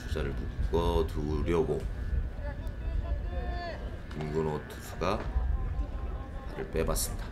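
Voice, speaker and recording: A man calling an amateur baseball game live, moving from measured calls to excited shouts. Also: extremely low pitch, noisy background. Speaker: play-by-play commentator.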